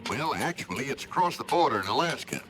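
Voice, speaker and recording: A man's voice: mid-range voice, big pitch swings, fast talker, a crowd in the background, natural voice. An actor playing an amiable, businesslike insurance man in a 1950s radio drama, conversational and a little hesitant. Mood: sly, coaxing. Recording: noisy vintage radio recording.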